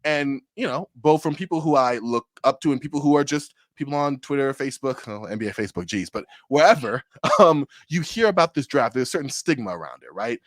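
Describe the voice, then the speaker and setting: A man hosts a casual basketball podcast, talking fast and full of excitement. His voice sits at a middle pitch and comes through dry and close on the mic, with no room sound behind it. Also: dry recording. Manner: hyped, energetic, talks fast with restarts. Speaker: podcast host. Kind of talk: casual sports podcast chat.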